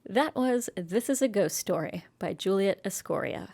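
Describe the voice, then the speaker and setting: Female host, medium pitch, scripted story introductions, wry, tongue-in-cheek. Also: lively intonation, normal speed, gravelly, a little mellow, breathy edge. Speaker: host of an audio story series.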